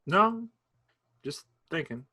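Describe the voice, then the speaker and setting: A man's voice: on the high side, very animated, slow, bright, forceful tone, exaggerated voice. A man reading the part of Dan, a weary, guarded farmer who talks in short plain replies with a Kentucky accent, in a staged play reading. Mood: low, tired. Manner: laconic, plain rural talk.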